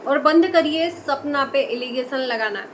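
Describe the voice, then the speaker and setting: A high-pitched woman rants in an indignant, scolding commentary, firing rhetorical questions at her viewers. Faint ambient noise sits under her voice. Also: a little slow. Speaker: video commentator. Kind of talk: impassioned commentary monologue.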